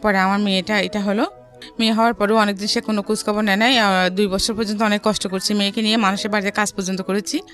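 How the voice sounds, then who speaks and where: A middle-aged woman with a Bangladeshi accent telling her life story in a studio interview, haltingly and often repeating phrases. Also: mid-range voice, flat delivery, fairly bright tone. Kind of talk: personal storytelling in a radio interview. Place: radio studio. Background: background music.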